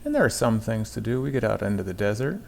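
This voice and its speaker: A man's voice: fairly low pitch, sing-song, fast talker, gravelly, a little mellow, a little hiss or hum. A middle-aged man from the United States narrating a travel vlog about a road trip in an easygoing, lightly wry way.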